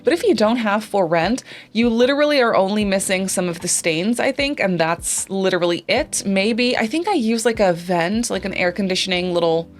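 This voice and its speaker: A woman's voice: medium pitch, animated, normal speed, hardly any pauses. Chatty woman, Dutch native speaker. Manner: chatty, rambling, many fillers and self-corrections. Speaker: Sims YouTuber. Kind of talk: casual voice-over commentary.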